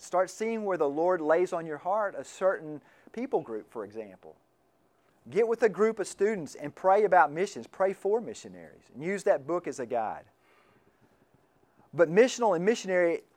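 A man with a slightly higher voice teaches a class in a loose, conversational way. He often doubles back on his words and restarts sentences, and he keeps checking in with the room. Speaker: lecturer on missions and medicine. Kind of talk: classroom lecture.

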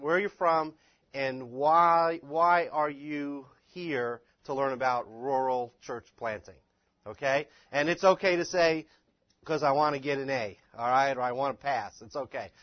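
A man's voice: a little high, dry recording. Folksy male class instructor, slightly dull sound. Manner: folksy, teasing, encouraging. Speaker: instructor leading a church-planting class. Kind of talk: informal teaching, addressing a group.

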